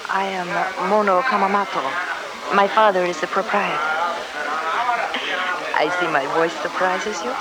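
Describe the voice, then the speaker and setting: An actress playing a guarded young cafe owner's daughter in 1940s radio style, speaking in formal, measured phrases. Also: female voice, medium pitch, steady tone, heavy background noise, close to an everyday voice. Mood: poised. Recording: old radio recording, loud crowd behind.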